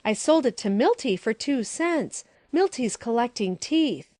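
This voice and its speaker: A woman voicing an excitable, mischievous little boy who chatters eagerly in an audiobook. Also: on the high side, a little slow, dry recording, clean studio sound. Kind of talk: audiobook character voice.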